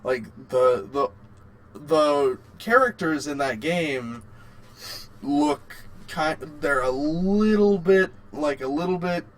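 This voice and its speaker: A man co-hosting a podcast in casual chat, halting and often restarting his phrases. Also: a little high. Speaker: podcast co-host. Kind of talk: casual chat about video game movies.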